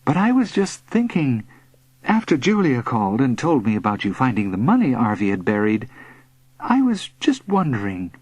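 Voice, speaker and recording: A man's voice: mid-range voice, wildly swooping pitch, moderate pace, darkish tone, slightly rough, dry recording, rough recording. A man voicing a mild, concerned mother with a tentative way of asking, in a narrated story. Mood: hesitant.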